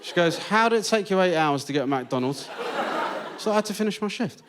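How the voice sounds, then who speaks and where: A British man doing a stand-up set in a theatre, telling stories with deadpan punchlines. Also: high-pitched, a little fast, heavy background noise. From Britain. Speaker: stand-up comedian. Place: large theatre with live audience. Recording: stage mic in theatre. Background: loud audience laughter.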